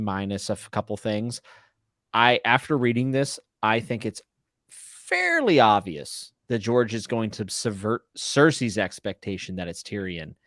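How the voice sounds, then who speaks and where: Low-voiced man in casual book discussion. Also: fairly bright tone, dry recording, studio quality. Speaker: co-host of a book readalong live chat.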